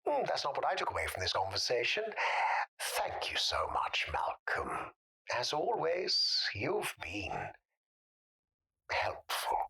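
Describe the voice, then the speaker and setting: A man voicing a sly, calculating supernatural caller in an audio drama, with a breathy rasp and a theatrical, taunting manner. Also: mid-range voice, huge pitch swings, slow pace, bright, forceful tone, heavily acted. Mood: smug, taunting.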